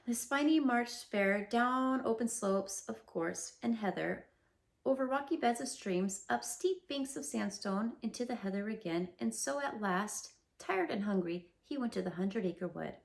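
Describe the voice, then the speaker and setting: A woman's voice: a little high, animated, a little slow, vocal fry. A woman reading a children's storybook aloud, adding chatty asides to her listeners. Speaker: storybook reader. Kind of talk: children's read-aloud narration.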